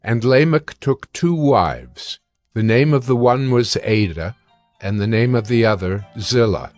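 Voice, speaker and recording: A man narrating scripture from a script in a measured, solemn manner. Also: mid-range voice, lively intonation, a little mellow, dry recording, soft background music.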